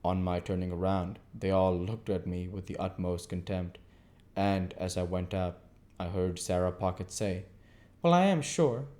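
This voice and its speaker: A man's voice: low-pitched, monotone, normal speed, few pauses, warm tone. A man giving an amateur audiobook narration of a classic novel as the reader and host.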